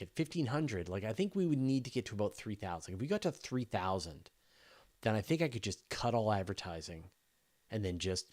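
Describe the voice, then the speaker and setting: Guy with a lowish voice hosting a live q&a, casual, kinda thinking out loud as he goes. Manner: informal, thinking aloud in broken phrases. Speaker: host of a space news channel.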